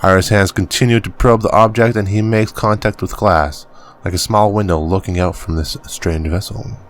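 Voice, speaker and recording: Deep-voiced man narrating a game. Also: fairly even intonation. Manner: halting reading, stumbles over words and laughs it off. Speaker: gaming channel host. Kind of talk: reading game text aloud as narration.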